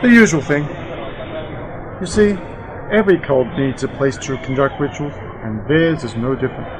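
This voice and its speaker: A man's voice: expressive, moderate pace, a crowd in the background, lightly acted. A man playing a wry, eccentric, skeptical uncle and scientist-adventurer in an amateur sci-fi radio play. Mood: measured, dismissive. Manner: dry, eccentric, self-amused. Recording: noisy home-made radio play, vehicle-like rumble.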